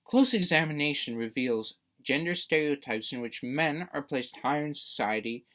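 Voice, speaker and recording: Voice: mid-range voice, expressive, normal speed, fairly bright tone. A debate speaker delivering a prepared persuasive speech in a formal, emphatic, rhetorical manner.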